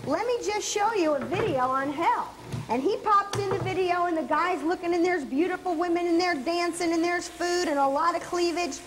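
A woman's voice: extremely high pitch, moderate pace, a little hiss or hum. A woman co-hosting a comic cooking show, playful and squeamish, telling jokes and questioning the recipe.

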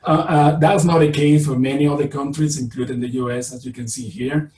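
A man's voice: medium pitch, steady tone, darkish tone. Venezuelan man lecturing over a roomy call. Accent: Venezuelan Spanish. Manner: halting, many fillers and restarts. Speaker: virology professor presenting. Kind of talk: webinar lecture. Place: indoor room on video call. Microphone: laptop webcam on video call. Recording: roomy, distant, faint noise.

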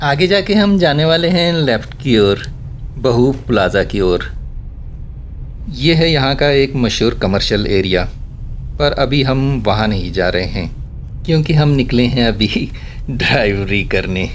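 A man's voice: mid-range voice, expressive, measured pace. Man chatting while driving. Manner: chatty, wry remarks about traffic manners. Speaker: vlogger giving a city driving tour. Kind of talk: casual running commentary while driving. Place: inside a car driving through a city in rain.

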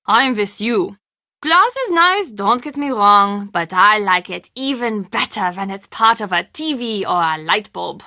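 An actress playing a friendly, earnest castle hostess in a video game, confiding and expressive in manner. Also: female voice, mid-range voice, unhurried, no echo, studio quality. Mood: warm, wistful. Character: friendly young German castle hostess, earnest, spooked, chatty. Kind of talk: video game dialogue acting.

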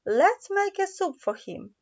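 An eager little storybook vegetable, voiced by a woman, speaks in a high, lively, sing-song way. The pace is slow and the sound slightly dull. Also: exaggerated voice. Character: eager talking vegetables planning soup together. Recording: dull lo-fi recording.

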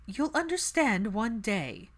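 A woman's voice: fairly high pitch, sing-song, takes it slowly, voice pushed up, heavily acted. A pragmatic mother, set in her ways and voiced by a woman narrator. She lectures insistently and critically in a raised, pressing voice, as heard in an audiobook. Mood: exasperated, lecturing.

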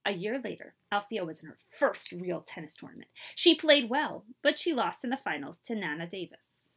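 A woman in her thirties reading a children's picture book aloud expressively, pausing to put questions to her listeners. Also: mid-range voice, husky. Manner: animated, asks listeners questions. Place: small room indoors.

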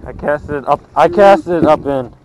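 A teenage boy talks over the catch in a small fishing boat, loud and excited about the fish. His voice sits a bit high. An action camera on the boat picks him up with a faint wash of wind and water behind. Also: moderate pace, poor audio. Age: teens. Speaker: high school angler. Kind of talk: excited casual chat while fishing. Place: small fishing boat on a windy lake, outdoors. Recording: wind and boat noise outdoors.

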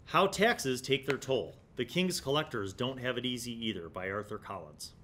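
Middle-aged man, rumbly background noise. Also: lively intonation, normal speed, bright, forceful tone. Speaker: host of a retro tabletop gaming review series. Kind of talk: casual commentary while leafing through a magazine, reading bits aloud. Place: small indoor home studio. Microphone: desk condenser microphone in front of him.

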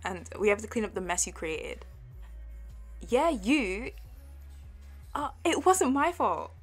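A woman's voice: a little high, very animated, slow pace, breathy edge, faint music behind, heavily acted. A young woman playing a flustered, playful artsy college girl with a crush, stammering and giggly in an intimate audio roleplay. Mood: flustered, tender. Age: young adult.

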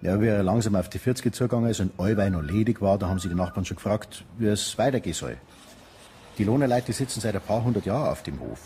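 A small-town Bavarian policeman with a low, dark, relaxed voice. He talks unhurriedly and a little hesitantly about the locals in a naturalistic radio drama. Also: quick pace, a little hiss or hum. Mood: reflective. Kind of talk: naturalistic German radio crime drama acting.

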